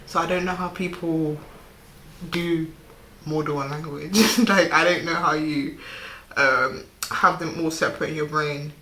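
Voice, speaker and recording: A young adult from the United Kingdom, chatting casually to camera and rambling with lots of fillers and quick laughs. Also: mid-range voice, sharp tone. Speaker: vlogger. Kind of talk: casual vlog chat. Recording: roomy echo.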